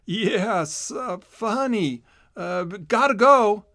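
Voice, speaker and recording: A man voicing a young, excitable male hedgehog with a high-pitched voice in a children's storybook. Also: slow, no room sound, clean studio sound, exaggerated voice. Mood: nervous. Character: young cheerful male hedgehog singing and exclaiming.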